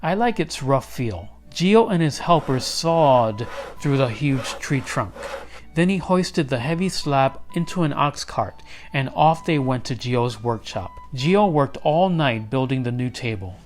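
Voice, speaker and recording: A man reading a children's story aloud as narrator, animated and expressive. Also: medium pitch, unhurried. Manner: animated, with acted character voices. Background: background music.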